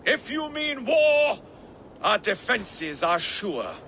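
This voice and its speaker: A man's voice: high-pitched, sing-song, takes it slowly, pushed and bright, slight background noise, full character voice. An actor playing a haughty, defiant king in a video game, declaiming with scorn for invaders. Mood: haughty defiance. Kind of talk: video game dramatic acting.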